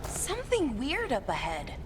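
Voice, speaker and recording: A woman's voice: high voice, huge pitch swings, moderate pace, a little hiss or hum. An actress playing an eager, awkward young woman in a video game, earnest and hesitant in the way she talks. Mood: alert. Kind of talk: video game character acting.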